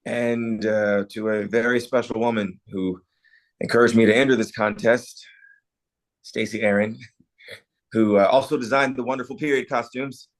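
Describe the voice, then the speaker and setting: A man acting a bashful, sincere small-town carpenter in a naturalistic table read, with a halting, hesitant delivery. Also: medium pitch, fairly even intonation, natural voice, poor audio. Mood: grateful, flustered.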